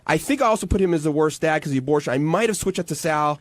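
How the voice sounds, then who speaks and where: A man's voice: on the high side, rapid-fire, dry recording. A man, a radio show staffer, chatting casually and candidly in an earnest, hedging way.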